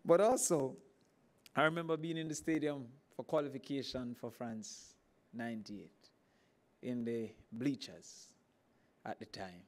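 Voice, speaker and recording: Emphatic Jamaican man giving a speech. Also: measured pace. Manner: animated, emphatic, climbing pitch on key points. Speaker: government minister. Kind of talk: formal speech at an event.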